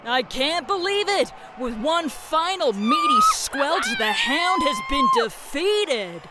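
An actor playing an excitable ring announcer, shouting drawn-out calls in an audio comedy. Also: male voice, very high voice, heavy background noise, full character voice. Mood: bombastic.

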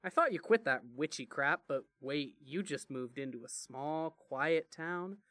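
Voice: mid-range voice, lively intonation, measured pace, sharp tone, no room sound, clean studio sound. A narrator voicing a joking, slangy young brother who rambles and teases melodramatically in an audio story. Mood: mock-dramatic.

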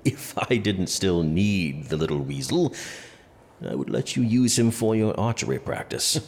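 Haughty English prince, very low voice, expressive swings, dry sardonic manner, medieval audio drama, reverberant hall. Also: male voice. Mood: scheming. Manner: dry, sardonic, measured and pompous. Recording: reverberant hall with faint music behind.

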